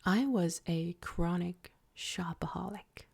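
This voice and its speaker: A woman guiding a soft-spoken ASMR meditation in a breathy, near-whispered voice, with gentle, deliberate phrasing. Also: on the low side. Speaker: ASMR creator and meditation guide.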